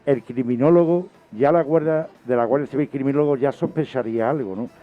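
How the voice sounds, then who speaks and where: A man's voice: medium pitch, brisk. A man chatting casually as a radio host. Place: radio studio. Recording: dull lo-fi sound, music behind.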